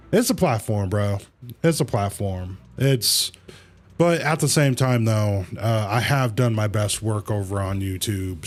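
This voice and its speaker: A man chatting casually to viewers as a livestreamer, halting and rambling, with easygoing slang. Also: mid-range voice. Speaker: live streamer, host of own channel. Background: music.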